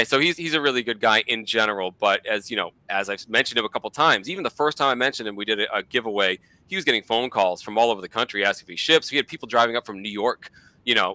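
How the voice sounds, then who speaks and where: A man's voice: on the low side, bright, forceful tone, no room sound, rough recording. A middle-aged man with a Boston accent, a guest chatting casually in an interview, slightly mumbly and rambling. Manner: mumbly, self-deprecating, rambling. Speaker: guest, comic collector YouTuber.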